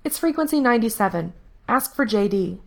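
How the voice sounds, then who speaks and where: A woman voicing a tough, sharp-tongued woman rebel fighter with a brash, impatient manner in a story. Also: fairly high pitch, expressive, a little fast, creaky, voice pushed up. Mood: exasperated. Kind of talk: acted audiobook dialogue.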